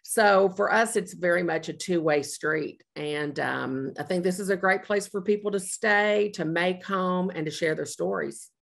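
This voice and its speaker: A middle-aged woman with a fairly low voice takes her turn on a panel. She speaks earnestly and at a measured pace, often pausing on an um. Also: gravelly. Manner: earnest, measured, frequent ums. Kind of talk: panel discussion.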